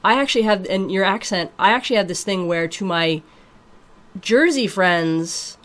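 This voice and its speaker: A woman's voice: mid-range voice, normal speed. A woman in her late thirties from Maryland, hosting a casual interview and chatting with loose asides and the odd swear. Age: late 30s. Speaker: podcast host.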